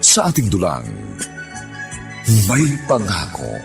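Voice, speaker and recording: A man's voice: deep voice, huge pitch swings, takes it slowly, gravelly, music in the background. A man announcing a radio drama in a dramatic, scripted style.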